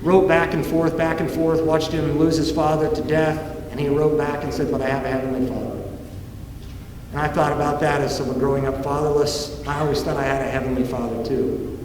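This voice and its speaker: A man giving a formal faith talk in an earnest, preacherly way, slowing down for emphasis. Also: fairly high pitch, level tone, a little mellow, reverberant, big-room sound, recorded from a distance, a little hiss or hum, low-quality recording. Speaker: ministry president giving a talk.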